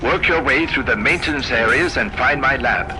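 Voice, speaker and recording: An actor voices a sinister male doctor in a video game. He speaks in a formal, deliberate and commanding way with an urgent edge, in a medium-pitched voice over clear music. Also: close to an everyday voice, poor audio. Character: sinister, commanding bioweapons doctor guiding a survivor. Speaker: scientist character.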